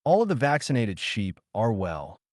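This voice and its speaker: A formal official judge read by a male narrator in an audiobook. He speaks in measured phrases with lively pitch and a dry, close sound. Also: no room sound. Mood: matter-of-fact.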